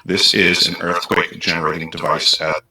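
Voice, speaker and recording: Man, deep but sharp-edged tone, earnest testimony; roomy, slightly noisy clip. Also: level tone, moderate pace. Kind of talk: recorded testimony. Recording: echoey room with faint noise.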